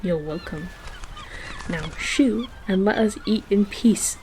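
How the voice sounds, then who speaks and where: A woman's voice: mid-range voice, slow pace, noisy background, lightly acted. A woman acting a playful, affectionate girlfriend in a romantic audio roleplay, with a theatrical, teasing manner. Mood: indignant, grossed out. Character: playful, dramatic, affectionate girlfriend on a beach picnic. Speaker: voice actor.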